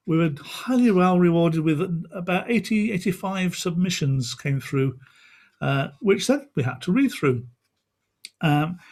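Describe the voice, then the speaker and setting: A man's voice: a little high, darkish tone. A man hosting an online event in an informal, chatty and rambling way. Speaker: event host. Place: indoors on a video call.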